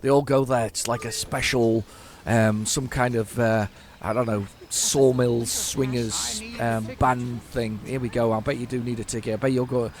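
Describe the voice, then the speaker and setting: Hoarse man rambling over gameplay. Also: medium pitch, fairly even intonation, normal speed, hardly any pauses. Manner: rambling, stammering repeats, chatting to himself. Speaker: gaming YouTuber. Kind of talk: casual gameplay commentary.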